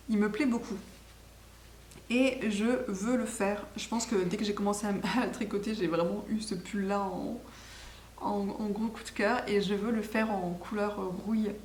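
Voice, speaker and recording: A woman with a medium-pitched voice talks casually, as in a homemade video podcast. She is enthusiastic and rambling, often correcting herself mid-thought. The room is echoey, with a little noise behind her. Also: a little mellow. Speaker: knitting podcast host.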